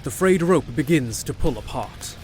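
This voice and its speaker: A man's voice: on the high side, animated, moderate pace, darkish tone, a little hiss or hum. A man narrating a scripted fantasy audio drama as a storyteller. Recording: music behind.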